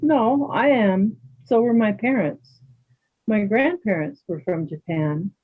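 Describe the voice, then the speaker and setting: A woman playing a calm, dignified older yoga teacher in readers theater, chatting and gently probing with questions. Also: mid-range voice, takes it slowly, slightly rough. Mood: gentle, frank. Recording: thin phone-like band-limited sound.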